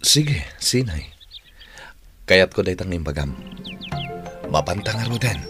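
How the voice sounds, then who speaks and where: Radio drama lead: tender, protective older brother, low earnest voice, light music underneath. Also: male voice, animated, takes it slowly. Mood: warm, gentle. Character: gentle, caring man, protective older brother.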